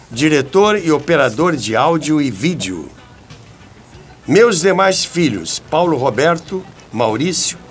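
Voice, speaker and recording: An older Brazilian man presenting scripted narration in a dramatic, emphatic storytelling manner. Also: fairly high pitch.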